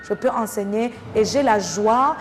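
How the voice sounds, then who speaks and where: Woman from Rwanda with a slightly high voice, interviewee; thoughtful and halting delivery. Reverberant room, faint noise. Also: soft background music. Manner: thoughtful, with many pauses and fillers. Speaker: interviewee, French teacher. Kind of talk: casual interview talk.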